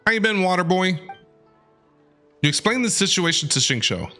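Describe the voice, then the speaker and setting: A male streamer with a high, bright voice commenting live on a game. He is animated and excitable, reasoning out loud as he plays. Light game music runs behind him. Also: normal speed.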